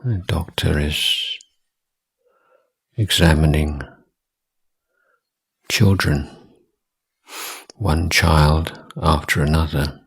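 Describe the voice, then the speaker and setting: A man with a husky voice giving guided meditation instruction as a teacher, speaking in short, deliberate phrases with long pauses. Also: a bass voice, fairly even intonation, low-quality recording. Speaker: Buddhist monk, meditation teacher.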